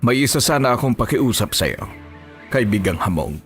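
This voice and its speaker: A man's voice: medium pitch, very animated, normal speed. Man acting a stubborn Filipino monster hunter. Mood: pleading. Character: grieving, defiant male aswang hunter. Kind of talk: horror audio story acting. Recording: faint music behind the voice.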